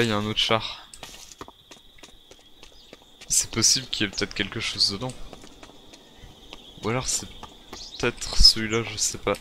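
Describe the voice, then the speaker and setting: A man improvising first-person roleplay commentary on a game, muttering to himself in short, repeated phrases. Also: lowish voice. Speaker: gaming video creator playing a survivor. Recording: very noisy home recording, game sounds behind.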